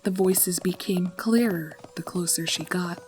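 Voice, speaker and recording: A woman reading a horror story aloud in a calm, steady narrating voice. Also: fairly low pitch, a little slow.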